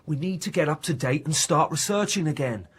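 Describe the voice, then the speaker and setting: A man playing an earnest, persistent university researcher in a naturalistic radio drama, articulate and slightly husky. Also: fairly high pitch, expressive, brisk. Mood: insistent.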